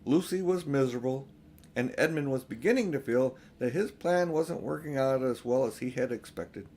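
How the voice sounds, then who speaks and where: A man's voice: medium pitch, normal speed, darkish tone, slight background noise. A man narrating a children's storybook aloud as an audiobook reader.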